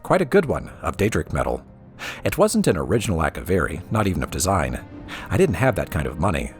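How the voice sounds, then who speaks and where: Guy with a really low voice reading a story out loud, super up and down delivery, music playing. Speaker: let's play host reading in-game books aloud. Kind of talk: expressive scripted narration, storybook reading.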